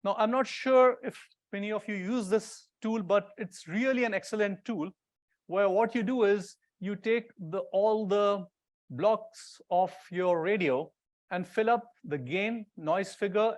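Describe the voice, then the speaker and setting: A man giving a technical conference presentation to an audience, explaining things informally and pointing things out as he goes. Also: high-pitched.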